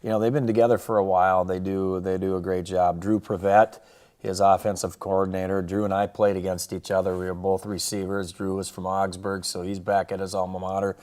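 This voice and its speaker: A man's voice: low-pitched, flat delivery, a little fast, a little mellow. A middle-aged man with an American accent, a football coach talking conversationally through a game preview. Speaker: college football head coach.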